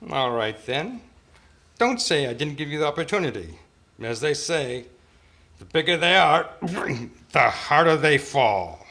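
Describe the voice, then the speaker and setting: A man's voice: fairly high pitch, big pitch swings, unhurried, bright, forceful tone, full character voice. A man playing a tough, gallant private eye in an old-time radio drama, with a wry, world-weary, slangy delivery. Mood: cocky. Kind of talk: hardboiled radio detective narration and dialogue. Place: club meeting, live performance before microphone. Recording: noisy amateur live stage recording.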